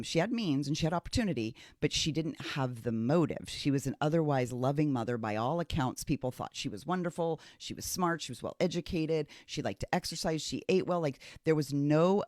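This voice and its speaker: A man's voice: on the high side, expressive, fairly quick, hardly any pauses, a little mellow, no echo, clean studio sound. A man giving casual, opinionated true crime commentary in an emphatic, conversational way, repeating phrases for stress.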